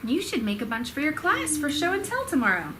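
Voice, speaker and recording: An eager little boy kitten, put on by a woman, high and bubbly. He exclaims like an impressed younger brother in a storybook. The recording has clear noise behind it. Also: very animated, fairly quick, sharp tone, full character voice.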